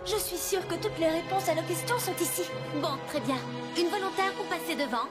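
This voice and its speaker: A woman voicing a brave teenage fairy heroine in an animated cartoon, speaking earnestly and dramatically. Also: very high voice, fairly quick. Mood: resolved. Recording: loud music over the dialogue.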